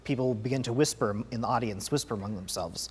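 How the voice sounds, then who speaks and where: Man in his thirties, halting, repeats himself, interview clip. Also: mid-range voice, a little hiss or hum. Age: 30s. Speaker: interview participant. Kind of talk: documentary interview answers.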